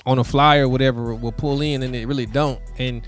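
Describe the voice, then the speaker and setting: A man's voice: mid-range voice, steady tone, fairly quick, soft background music. An American man in his thirties in a casual panel chat, slangy, repeating words for emphasis. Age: 30s.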